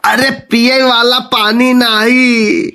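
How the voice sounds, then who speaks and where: A man's voice: very high voice, little pitch movement, slow pace, creaky, pushed and bright, clean tone, full character voice. A man voicing a grumbling, exasperated village father in a comic cartoon, with a sarcastic, complaining manner and an Awadhi accent. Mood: mocking.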